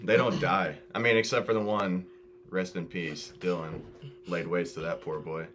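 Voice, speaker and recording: A young man talks in a low, deep voice. His delivery is loose, informal banter. A little hum and noise sits under the recording. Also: steady tone, normal speed, a bit bright. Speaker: podcast host. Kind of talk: casual chat.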